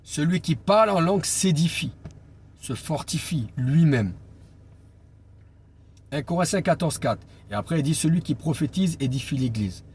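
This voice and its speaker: Higher-voiced male preacher, noisy recording. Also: lively intonation, moderate pace, pauses often, a little mellow. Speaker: evangelist preacher. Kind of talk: informal Bible teaching sermon. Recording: clear background noise and ambience.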